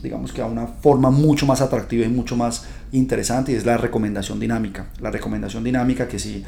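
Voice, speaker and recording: A man's voice: medium pitch, fairly even intonation, quick pace, gravelly, mellow. A man hosting a podcast, talking to his listeners in a chatty, upbeat, direct way. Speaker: podcast host. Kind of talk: casual podcast monologue.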